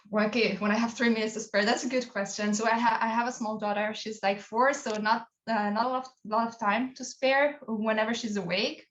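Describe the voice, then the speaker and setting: This woman in her thirties is from Albania and answers in a friendly, talkative way. Her voice comes through a roomy space. The sound is slightly dull and muffled, as on a remote call. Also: medium pitch. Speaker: guest, people analytics analyst. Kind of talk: casual interview answers.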